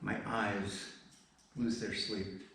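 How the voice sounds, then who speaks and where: Slow-paced man reading poetry in echoey room. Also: lowish voice, little pitch movement, vocal fry, fairly bright tone. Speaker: poet presenting work. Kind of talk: poetry reading at a live event. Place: indoor event space, recorded from a distance. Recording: very echoey room, distant mic, faint noise.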